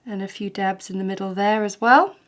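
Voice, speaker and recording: A woman, a little snuffly with hay fever, talking through a watercolour painting tutorial in a gentle, chatty, encouraging way. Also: medium pitch, a little fast, background noise. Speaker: watercolour art teacher.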